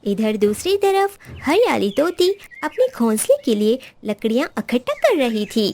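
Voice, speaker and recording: A woman's voice: a little high, sing-song, a little slow, darkish tone. A woman narrating a scripted children's bird story.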